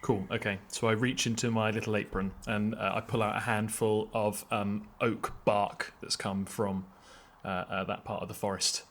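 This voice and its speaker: Low-voiced man improvising in a tabletop game. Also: flat delivery, fairly bright tone. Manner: halting, feeling out phrases with repetitions. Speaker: tabletop roleplaying player. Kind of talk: improvised in-game description, conversational.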